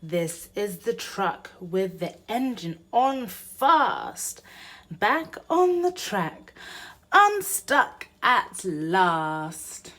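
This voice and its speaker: A woman presents a children's read-aloud in a sing-song, expressive voice. She takes her time over the words, and her pitch rises and dips in a lively way as she speaks to young children. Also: drawn-out words.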